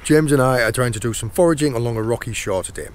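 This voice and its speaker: A middle-aged man with a hoarse, croaky voice, chatting casually outdoors on a rocky shore as he guides viewers through foraging. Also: mid-range voice, fast talker. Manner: chatty, points things out as he goes. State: hoarse, croaky sore throat. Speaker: channel host and foraging guide.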